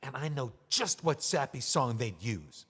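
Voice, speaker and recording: An actor playing a bitter, drunk middle-aged widower, sarcastic and slurring, in an audio drama. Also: male voice, mid-range voice, very animated, a little slow, fairly bright tone, breathy edge, big-room sound, exaggerated voice. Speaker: memoir author.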